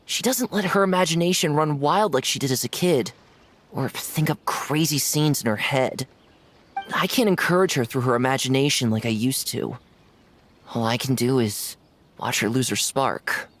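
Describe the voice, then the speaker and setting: Earnest, emotive boyish imaginary-friend creature. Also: female voice, a bass voice, moderate pace, a little hiss or hum. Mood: sad. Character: devoted, hot-tempered young male imaginary-friend creature. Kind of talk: animated video game dialogue.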